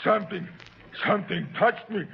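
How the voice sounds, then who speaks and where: An actor in a 1930s radio drama playing a frightened, superstitious brute of a servant, stammering and halting. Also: male voice, high-pitched, gravelly. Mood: terrified. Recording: dull old 1930s radio recording.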